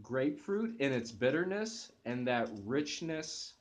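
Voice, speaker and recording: A young man talks slowly and eagerly into his webcam from a living room sofa, reviewing a coffee. His voice sits at an ordinary pitch, and he stumbles through fillers and restarts as he goes. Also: lively intonation. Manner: enthusiastic, hesitant restarts and fillers. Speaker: channel host reviewing coffee. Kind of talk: casual product review to camera. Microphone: webcam at close range.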